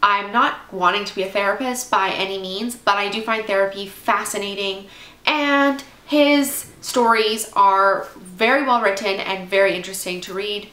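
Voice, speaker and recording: A woman's voice: mid-range voice, normal speed, sharp tone. A young woman in her twenties with a congested cold, chatting casually to camera about books, rambling with lots of asides. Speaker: book vlogger. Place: at home, indoors. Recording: echoey room with faint background noise.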